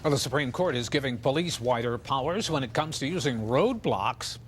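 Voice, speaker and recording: Lively male American news anchor. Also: medium pitch, normal speed, slight background noise. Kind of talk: scripted TV news reading.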